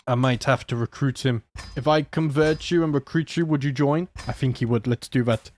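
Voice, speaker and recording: A man's voice: mid-range voice, normal speed, darkish tone. A man with a sore throat, chatting casually and joking as he plays a strategy game. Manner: chatty, joking, thinks aloud. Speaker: gaming channel host. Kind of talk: casual let's play commentary.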